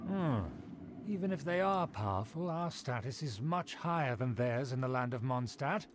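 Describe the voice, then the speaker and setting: An actor playing a haughty young male aristocrat who speaks in a formal, pompous, drawn-out way, heard as game audio. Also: medium pitch, animated, close to an everyday voice. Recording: game audio with clear noise.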